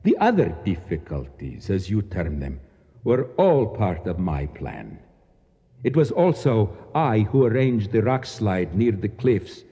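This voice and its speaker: An actor playing a proud, bitter Egyptian nationalist official in a radio drama, speaking formally and precisely with declamatory flourishes. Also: male voice, on the low side, sing-song, unhurried, mellow, breathy edge, heavily acted. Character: proud, embittered, fanatical Egyptian nationalist official, courteous yet grim. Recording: dull sound with long echoing reverb.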